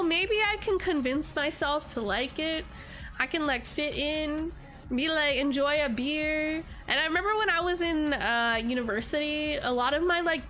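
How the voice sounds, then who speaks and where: A woman's voice: high voice, pushed and bright. A young woman streamer chatting casually with her viewers, stuffy and congested from allergies, giggly and rambling with lots of "like"s. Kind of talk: casual livestream chat reading comments.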